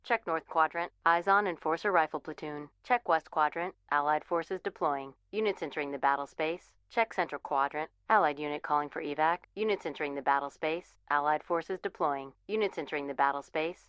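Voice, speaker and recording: Low, smooth military command operator. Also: female voice, a little fast, few pauses, fairly bright tone, no echo, lo-fi sound. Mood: composed. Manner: clipped, impersonal, procedural. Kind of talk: video game tactical announcements.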